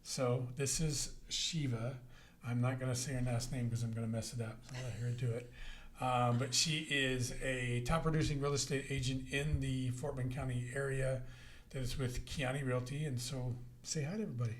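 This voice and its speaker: A man hosting a real estate podcast, chatting in a relaxed, rambling way with frequent fillers. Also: mid-range voice, moderate pace. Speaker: podcast host. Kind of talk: casual podcast chat.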